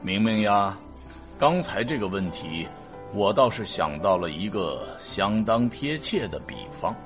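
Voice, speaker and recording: Man as avuncular older scientist, lowish pitch, slow deliberate pace, genial, sci-fi audio drama. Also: very animated, faint music behind. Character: genial older male chief scientist, kindly and unhurried.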